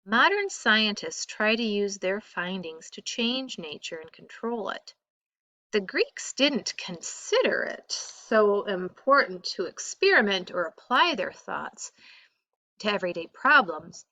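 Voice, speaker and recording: Slow, bright-voiced woman reading aloud. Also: medium pitch, big pitch swings, no echo, low-quality recording. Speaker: teacher reading a textbook aloud. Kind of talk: read-aloud narration of a school textbook.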